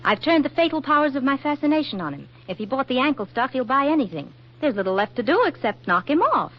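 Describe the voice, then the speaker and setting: A scheming young American photographer in a 1940s radio comedy. Her voice is high and swoops with coy, wisecracking confidence. The dull vintage recording has faint music behind it. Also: big pitch swings, fast. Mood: smug, scheming.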